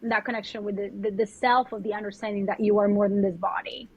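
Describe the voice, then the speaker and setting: A woman's voice: medium pitch, steady tone, fast talker, breathy edge. A woman with a Colombian accent, a spiritual mentor talking earnestly about her path in a podcast conversation, often repeating herself as she gathers her words. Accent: Colombian Spanish. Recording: dull lo-fi audio.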